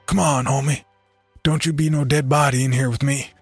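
A man doing a hushed, jittery jail cellmate. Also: mid-range voice, little pitch movement, moderate pace, husky, dry recording, faint music behind, pitched above the performer's own voice. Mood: nervous. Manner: hushed, street slang.

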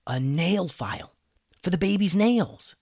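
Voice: medium pitch, expressive, unhurried, vocal fry, a little mellow, dry recording, clean studio sound, pitched above the performer's own voice. A storyteller voicing an eager, earnest young boy on an adventure in a children's story. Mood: hopeful.

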